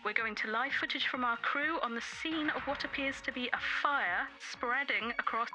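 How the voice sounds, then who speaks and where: Woman as high-voiced formal newsreader. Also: moderate pace, music in the background, close to an everyday voice, poor audio. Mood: composed. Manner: formal, scripted. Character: formal female television newsreader. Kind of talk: news bulletin in audio drama.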